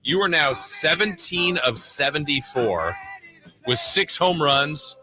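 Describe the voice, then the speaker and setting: A man co-hosting a sports radio show, reading off stats in a matter-of-fact, quick way. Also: on the high side, lively intonation, loud music behind the voice, poor audio.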